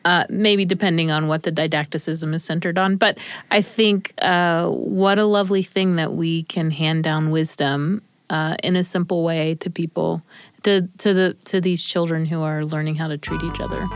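A woman's voice: low-pitched, fairly even intonation, moderate pace, clear, resonant tone, no room sound. A woman producer talking thoughtfully in a casual on-air discussion. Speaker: radio show producer.

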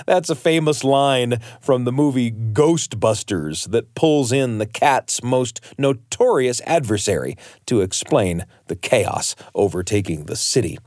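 A man's voice: mid-range voice, animated, takes it slowly, a little mellow, dry recording. A man hosting a radio show with scripted, playful, folksy narration addressed straight to listeners. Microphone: close studio microphone. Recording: dry studio with music underneath.

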